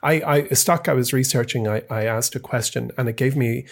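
A man's voice: medium pitch, a little fast, gravelly. A man giving his opinion casually as a podcast panelist.

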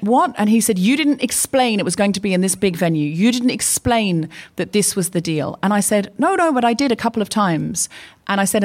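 A woman co-hosting a live comedy podcast on stage, chatty and anecdotal, acting out both sides of the conversations she retells. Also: medium pitch. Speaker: podcast co-host. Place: live stage before an audience.

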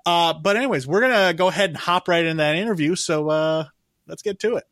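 A man hosts an entertainment show with casual, conversational introductions. His voice sits a bit high for a man, and the sound is dry, with hardly any room to it. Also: a little fast, a bit bright, no echo. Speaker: show host.

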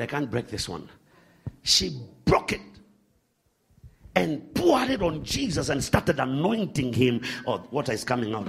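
A man preaching a sermon to a congregation, animated, swinging from shouts to hushed asides. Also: on the high side, gravelly, slightly rough.

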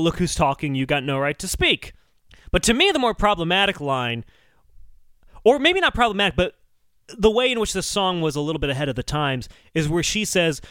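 Animated, high-pitched man, bright podcast voice. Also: smooth, no room sound, clean studio sound. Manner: sarcastic joking, animated, profane. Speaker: podcast co-host. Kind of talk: casual comedic podcast chat.

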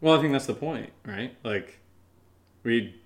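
A man's voice: medium pitch, lively intonation, unhurried. A man with an American accent chatting casually as a podcast co-host, playful and teasing. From Connecticut, US. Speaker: podcast co-host, audio drama creator. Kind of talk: casual Q&A chat.

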